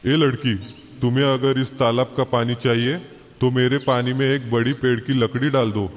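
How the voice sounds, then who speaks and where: A magical pond spirit, voiced by a man issues commands in an animated fairy tale. The voice is medium in pitch and wrapped in long reverb. It sounds commanding throughout. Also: moderate pace, lightly acted. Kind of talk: animated moral-story dialogue.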